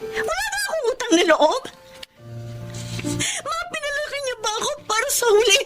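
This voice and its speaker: A woman's voice: very high-pitched, big pitch swings, normal speed, over music. An actress playing a worn-out young woman who supports her whole family, scolding and tearful by turns. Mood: hurt. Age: young adult. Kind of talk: TV-style family drama acting.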